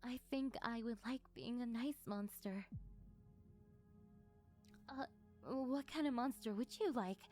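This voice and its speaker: A woman voicing a lonely, needy female sleep paralysis demon in an intimate ASMR roleplay, hesitant and soft-spoken. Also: a little high, slow pace, pauses often, faint music behind. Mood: tender, hopeful.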